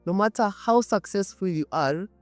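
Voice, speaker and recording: A man's voice: high voice, expressive, normal speed, no room sound, soft background music. A man with a Kenyan accent hosting a casual, dramatic storytelling show, speaking conversationally and emphatically and repeating phrases for effect. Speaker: storytelling host.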